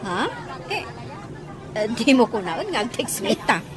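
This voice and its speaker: A woman's voice: on the high side, very animated, a little slow, a crowd in the background. A woman playing a puzzled, wary mother in an Ilocano audio drama. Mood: flustered.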